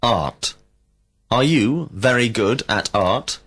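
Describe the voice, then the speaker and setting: A man reading out slow, carefully enunciated drill questions as an English teacher in a recorded lesson. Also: mid-range voice, animated, a bit bright, husky. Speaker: language teacher.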